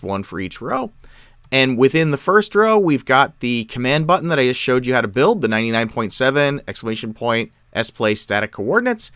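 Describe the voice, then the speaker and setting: A man explains software step by step in a tutorial style. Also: medium pitch, clean tone, no room sound, clean studio sound. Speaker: tutorial presenter. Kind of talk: software tutorial, explanatory screen-share narration.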